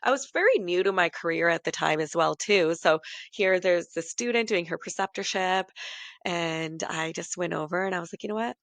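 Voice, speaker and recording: A woman's voice: on the low side, moderate pace, fairly bright tone, no echo. A woman in her late thirties co-hosting a podcast, chatting casually and rambling with self-deprecating laughs. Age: 30s. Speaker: podcast co-host. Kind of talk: casual chat.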